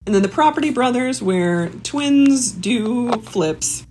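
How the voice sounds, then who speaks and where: A young woman, a comedian guesting on a podcast, chatting casually and pausing as she thinks. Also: fairly high pitch, animated, background noise. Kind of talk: casual improvised comedy chat.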